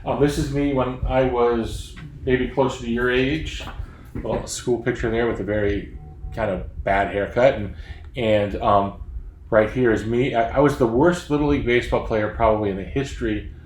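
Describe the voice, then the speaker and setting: A man's voice: medium pitch, steady tone, moderate pace. A man in his fifties, a children's book author from Florida, chatting casually and joking at his own expense from his home office. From Florida, USA. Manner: chatty, self-deprecating, joking. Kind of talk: casual chat to young readers. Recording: roomy office sound, faint background noise.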